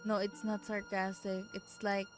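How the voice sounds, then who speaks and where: A woman's voice: medium pitch, flat delivery, measured pace. A woman with a Filipino accent, streaming a game and reading its text aloud. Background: game music.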